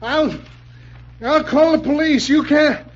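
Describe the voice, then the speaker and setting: An actor playing a frail, ailing, betrayed husband who stammers and gasps, in old-time radio drama style. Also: male voice, extremely high pitch. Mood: shocked, indignant. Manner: halting, stammering, gasping. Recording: dull old radio recording.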